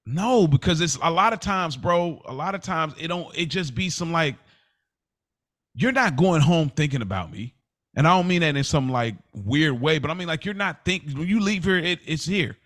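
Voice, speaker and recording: A man's voice: fairly high pitch, lively intonation, normal speed, a bit bright. Candid, slangy man, emphatic and repetitive. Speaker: podcast host. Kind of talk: candid casual podcast conversation.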